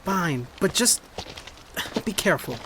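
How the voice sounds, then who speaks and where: An actress playing an earnest, excitable time-travelling boy in a scripted audio drama. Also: lowish voice, measured pace, background noise. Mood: defensive, urgent.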